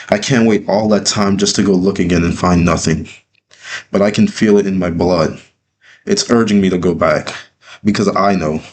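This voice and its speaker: A man playing a brooding, driven orphaned boy in an earnest, halting, melodramatic storybook reading. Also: sits low. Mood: anguished, restless. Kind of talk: dramatic first-person storybook read-through.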